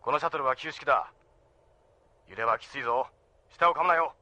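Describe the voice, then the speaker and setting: A man playing a brisk, commanding spaceship captain giving gruff orders over the radio in a Japanese anime audio drama. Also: medium pitch, bright, forceful tone, dry recording. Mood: businesslike warning.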